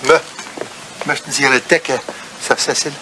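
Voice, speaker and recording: An older man acting a devoted, dignified butler in a radio play, speaking with formal deference and quiet sorrow. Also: medium pitch, steady tone, unhurried, close to an everyday voice. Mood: deferential. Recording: noisy old radio play recording.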